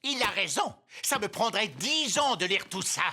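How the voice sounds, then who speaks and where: A woman's voice: mid-range voice, very animated, moderate pace, bright, forceful tone, husky, full character voice. A woman voicing a haughty, self-satisfied scheming villain in a French cartoon dub, with theatrical delivery. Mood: whiny, smug.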